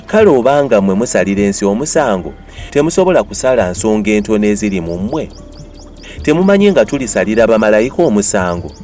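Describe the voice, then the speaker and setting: A man's voice: on the low side, mellow. A man with a Ugandan accent gives a dramatized, declamatory Bible reading with emphatic delivery. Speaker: Bible reader.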